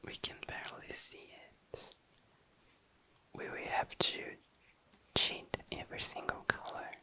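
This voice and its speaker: An ASMR host chatting casually to viewers in a hushed, breathy, unhurried whisper. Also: low-quality recording. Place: tabletop indoors.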